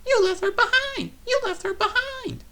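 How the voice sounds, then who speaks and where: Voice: moderate pace, bright, forceful tone. Spiteful railway coaches. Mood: shrill. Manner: shrill squealing and wailing.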